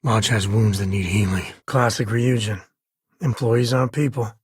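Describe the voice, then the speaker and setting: An actor playing a rugged space-ranger father in a video game, with a husky, raspy voice and a wry, earnest, conversational manner. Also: on the low side, steady tone, normal speed, dry recording, clean studio sound. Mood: wry, steady. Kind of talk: video game character voice lines.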